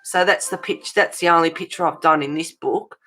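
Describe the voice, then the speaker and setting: A woman with an Australian accent and a creaky voice, chatting informally and encouragingly as the host of a casual live stream, rambling a little. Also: low-pitched, fairly quick, fairly bright tone, faint music behind. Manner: rambling, informal, encouraging, addressing viewers as guys.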